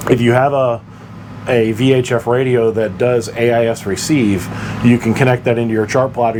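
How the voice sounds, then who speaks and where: Low-voiced man explaining, with noisy background hum. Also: darkish tone. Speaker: boating channel host. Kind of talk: informal explainer talk to camera.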